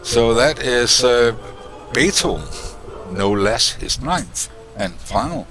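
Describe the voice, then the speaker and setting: A man's voice: medium pitch, animated, takes it slowly, fairly bright tone, faint music behind. A man hosting an electronics tutorial, talking casually through his project with frequent fillers and restarts. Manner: thinking aloud, frequent uh and restarts. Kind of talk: casual technical walkthrough. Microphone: desk microphone, switched on and off.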